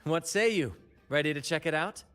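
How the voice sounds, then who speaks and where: A man's voice: a little high, normal speed, fairly bright tone, no echo, lightly acted. An actor voicing a flamboyant, charming male performer in a video game, with game music behind him. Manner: flamboyant, grandiose. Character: swashbuckling theatrical male performer, charming and bold.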